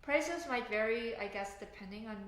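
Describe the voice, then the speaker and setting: A woman's voice: on the high side, moderate pace, a bit bright. Chatty Canadian woman streaming from home. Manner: chatty, many fillers and tangents. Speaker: livestream host, software engineer. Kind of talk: casual livestream chat reading comments. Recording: echoey room, faint background ambience.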